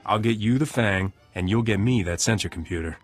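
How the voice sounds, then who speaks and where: Man playing a low, husky, cocky smuggler. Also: brisk, faint music behind, close to an everyday voice. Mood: wry, businesslike. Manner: wry, self-assured. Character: cocky, flirtatious male starship smuggler captain. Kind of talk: video game dialogue acting.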